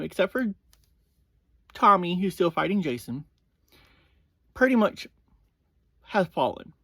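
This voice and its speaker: A man hosting a review series, giving a casual, informal recap in halting phrases that often open with "well". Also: high-pitched, a bit bright, no echo.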